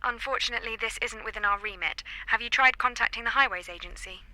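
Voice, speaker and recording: A woman playing a polite but unhelpful call handler in an audio drama, brisk and scripted, through a telephone filter. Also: fairly high pitch, pushed and bright, no room sound, poor audio.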